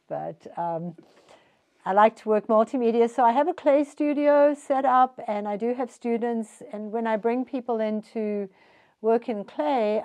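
Older woman, mellow warm tone, calm explanatory interview, indoor studio. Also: medium pitch. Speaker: artist and herbalist. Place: indoors in a cluttered art studio.

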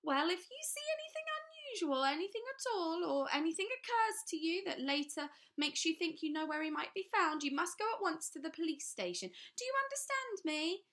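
A woman reading a storybook, voicing a tall, condescending Englishman who speaks slowly as though to small children. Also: very high-pitched, sing-song, fairly bright tone, voice pushed up, full character voice. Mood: oily, pressing. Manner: slow, patronizing, oily. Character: pompous tall middle-aged Englishman, condescending.

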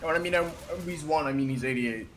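A man's voice: fairly high pitch, quick pace, slight background noise. A man chatting over voice chat during a game, jumpy and teasing back at friends. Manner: lively, jumpy intonation, swears when teased. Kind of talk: casual gaming chat.

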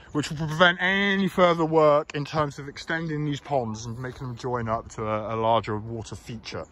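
A man's voice: a little high, a bit bright. A man walking through an outdoor park, explaining and criticising plans in a hesitant way with many fillers, recorded on a handheld phone. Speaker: local election candidate. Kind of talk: walking tour commentary. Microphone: phone camera held while walking. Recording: phone recording outdoors with faint background noise.

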